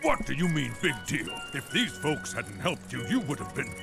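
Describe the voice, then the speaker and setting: A man playing a gentle, anxious, apologetic princess's attendant in a video game, polite and hesitant. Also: medium pitch, sing-song, moderate pace, vocal fry, over music. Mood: scolding.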